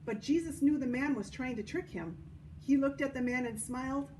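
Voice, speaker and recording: A woman hosting a children's story time at a church, reading and explaining in a deliberate way for young listeners. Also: high-pitched, a little mellow. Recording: distant microphone, noticeable background noise.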